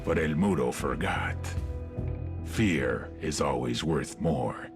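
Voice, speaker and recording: A brooding male cartel boss in game narration. His voice sits at the very bottom of the male range and moves with grave deliberation. Music plays clearly underneath. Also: rumbling low, slow. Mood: cold, assured. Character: brooding, ruthless male drug lord. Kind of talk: dramatic scripted game narration.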